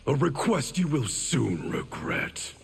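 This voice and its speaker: An actor playing a cold, ambitious male clan warrior in a video game, speaking in a stern, measured way. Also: medium pitch, very animated, creaky, a little hiss or hum, heavily acted. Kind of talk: video game character voice acting.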